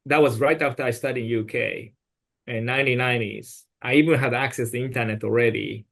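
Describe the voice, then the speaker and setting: Brief: male podcast guest, phrasing, sincere and advice-giving, at a middle pitch. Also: steady tone, fast, creaky, a bit bright. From Japan. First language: Japanese. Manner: earnest, advice-giving, with non-native phrasing. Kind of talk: podcast conversation.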